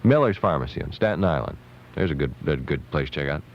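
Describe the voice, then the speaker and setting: An American man working as a radio DJ, playful and chatty, ad-libbing through lively patter and ad reads. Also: a bass voice, fast talker, slight background noise. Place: radio studio. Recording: dull lo-fi 1970s AM radio aircheck.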